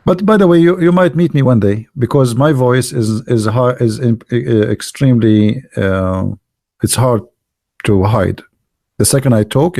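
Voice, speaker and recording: A man who speaks Arabic natively, hosting a livestream in non-native English, telling anecdotes and chatting casually in an animated, gravelly voice. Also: lowish voice, no room sound, clean studio sound. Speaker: livestream host. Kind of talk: casual anecdotes and chat with live viewers.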